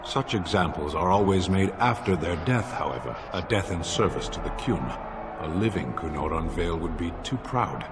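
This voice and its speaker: An actor playing a huge, stoic warrior of a rigid creed in a video game, speaking formally and gravely in measured words. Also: male voice, sits low, animated. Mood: solemn, stoic. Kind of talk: video game character dialogue. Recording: loud music behind. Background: loud music.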